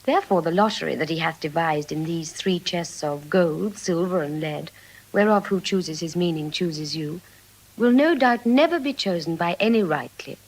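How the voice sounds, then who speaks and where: Sensible waiting-woman, low creaky voice. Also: animated, normal speed, a little hiss or hum, rough recording. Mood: reassuring. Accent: British. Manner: composed, sententious, crisply phrased. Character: sensible, gently chiding lady's waiting-woman. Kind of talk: classical British stage acting of Shakespeare.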